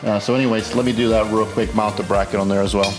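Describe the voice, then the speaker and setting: A man's voice: lowish voice, fairly even intonation, quick pace, loud music behind the voice, low-quality recording. A man hosting a casual how-to video, thinking aloud informally as he works through an installation. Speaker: host of a car modification tutorial. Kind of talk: casual hands-on how-to narration while working.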